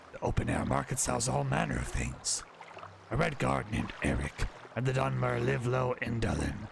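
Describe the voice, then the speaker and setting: A man voicing a proud dark elf townsman from a video game, giving a measured rundown in a deep, gravelly voice. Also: background noise. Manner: measured, informative recital. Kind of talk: voicing in-game dialogue in a let's play.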